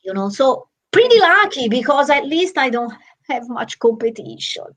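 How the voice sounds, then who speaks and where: A woman's voice: high voice, normal speed. A woman with an Italian accent, artistic director of a theatre troupe, chatting animatedly on a video call with many restarts. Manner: lively, animated, frequent restarts. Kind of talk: casual video-call chat.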